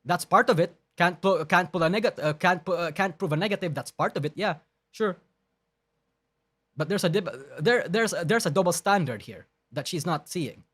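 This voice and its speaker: A male streamer with a voice a touch higher than most men's, arguing his points emphatically on a live broadcast. He trips over his words and starts sentences over, and he swears bluntly as he goes. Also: expressive, normal speed, a bit bright. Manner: emphatic, argumentative, with frequent restarts; blunt and profane. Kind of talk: live-stream commentary reading and rebutting a document.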